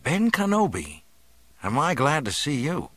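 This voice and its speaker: A man voicing an eager, excitable young farm boy in an audiobook, in an earnest manner. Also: a little high, unhurried, dry recording, voice pushed up, full character voice.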